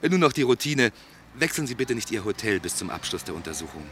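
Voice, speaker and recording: Low male voice, police investigator, lively intonation, courteous, dry irony, probing, radio play, faint background noise. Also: fast. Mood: businesslike, probing. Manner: insistent, drily ironic questioning. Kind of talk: German radio play acting.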